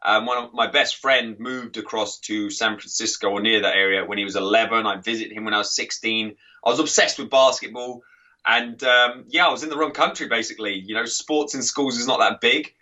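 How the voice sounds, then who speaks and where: Chatty English man, bright and distant. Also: mid-range voice, fairly quick, muffled recording. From England. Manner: chatty, laughing, rambling with restarts. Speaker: podcast guest, author and coach. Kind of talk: casual interview chat.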